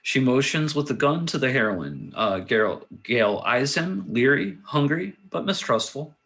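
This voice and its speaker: A man with a mid-pitched voice narrates a screenplay at a table read. He reads the action lines in a matter-of-fact, somewhat halting manner. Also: big pitch swings, a bit bright. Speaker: narrator reading stage directions. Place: remote video call.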